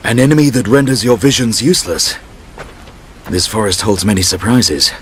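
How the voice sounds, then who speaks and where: Serious low-voiced seasoned warrior, acted by a man. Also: lively intonation, moderate pace, a little hiss or hum, close to an everyday voice. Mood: grave, pondering. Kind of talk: dramatic video game voice acting.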